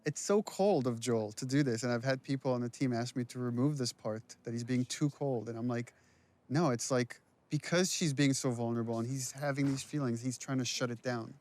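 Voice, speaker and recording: A man's voice: mid-range voice, a little fast, darkish tone. A man giving casual, explanatory commentary as a game's writer and director. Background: game cut-scene sound.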